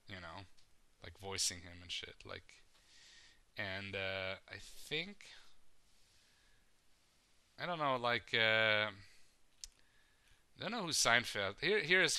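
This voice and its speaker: A man with a low, forceful, crisp voice hosts a casual podcast. He rambles through heavy fillers and restarts and curses freely. The sound is bright and smooth throughout. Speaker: podcast host.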